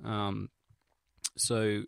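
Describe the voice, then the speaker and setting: A man's voice: on the low side, completely flat delivery, drawn-out words, vocal fry, smooth, dry recording, studio quality. A young man with an English accent, recounting things in a casual, rambling audio log, hesitant, informal and self-deprecating, with slang and swearing. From England. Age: young adult. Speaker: vlogger.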